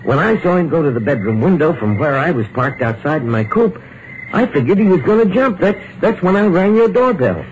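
A blunt bodyguard on old radio. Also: male voice, a little high, big pitch swings, fairly quick, a little mellow. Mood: matter-of-fact. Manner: matter-of-fact, slangy. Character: plain-talking hired bodyguard. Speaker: hired bodyguard. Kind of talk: 1950s radio drama acting. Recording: dull, faintly hissy old radio broadcast.

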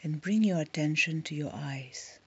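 Woman, meditation guide, soft and soothing, gravelly fry, unhurried short phrases, slightly muffled recording. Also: deep voice.

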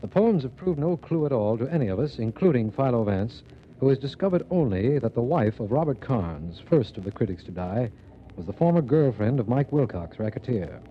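Man playing earnest district attorney, medium-pitched voice. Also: big pitch swings, fairly quick, lightly acted. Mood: businesslike. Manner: formal, declarative. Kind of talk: 1940s radio drama acting and narration. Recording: muffled, hissy 1940s radio recording.

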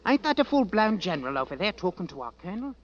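An actor playing a brash young army fifer with a Cockney accent, grumbling and eager for glory in a radio drama. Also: male voice, sits high, sing-song, fast talker, dull audio. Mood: cocky. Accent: Cockney British. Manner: brash, slangy, grumbling bravado. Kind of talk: 1940s-50s radio drama acting. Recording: old band-limited radio recording, crowd noise.

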